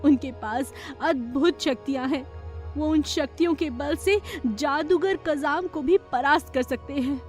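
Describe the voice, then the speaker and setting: Lady doing brave fairy queen mom for kids cartoon, high commanding voice, faint music. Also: measured pace, darkish tone. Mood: resolute. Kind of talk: children's cartoon story acting.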